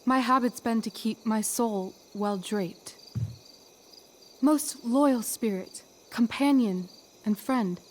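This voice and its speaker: A teenage girl performing as an imperious sorceress in a game's school play. Her voice sits fairly high and she declaims archaic verse slowly and deliberately. Crickets chirp faintly behind her. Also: darkish tone, slight background noise. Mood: earnest, pleading. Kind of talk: theatrical Shakespearean stage recitation in a game cutscene.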